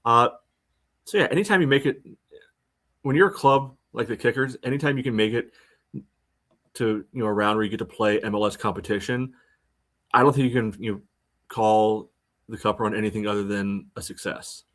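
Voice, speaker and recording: American dude on a soccer pod, lowish voice, halting and measured, lots of filler. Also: male voice, a bit bright. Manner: halting, measured, peppered with "you know". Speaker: podcast host. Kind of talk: casual soccer analysis chat.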